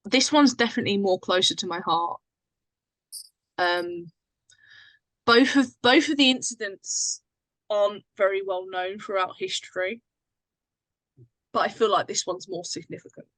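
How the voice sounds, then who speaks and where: Female guest, mid-pitched, informal and chatty, closely recorded explainer. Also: a little slow, pauses often, fairly bright tone, no room sound, low-quality recording. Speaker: podcast guest, writer and actress. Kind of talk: casual chat.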